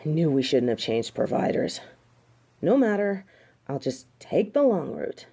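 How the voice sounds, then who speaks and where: A woman acting a haughty, mellow-toned fallen angel. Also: low voice, sing-song, unhurried, vocal fry, husky, full character voice. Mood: haughty, irritated. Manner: haughty, theatrical, disdainful. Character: haughty fallen female angel, indignant, snobbish tsundere. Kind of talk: dramatic audio roleplay acting.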